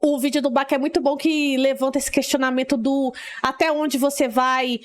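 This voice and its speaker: A woman's voice: high-pitched, level tone, normal speed, a little hiss or hum. A woman streamer reacting casually to a video, chatty and hesitant, with disgusted asides.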